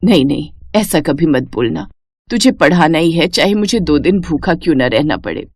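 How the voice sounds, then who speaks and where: Woman voicing a humble, worried village mother, lively intonation. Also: low voice, normal speed, husky, no room sound, studio quality, pitched below the performer's own voice, full character voice. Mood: worried, motherly. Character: humble, caring forty-year-old village mother running a dhaba.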